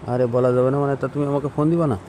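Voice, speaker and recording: A man's voice: mid-range voice, fairly even intonation, fast, dark tone, a little hiss or hum. A young man chats casually on a phone call recording, questioning and insistent. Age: young adult.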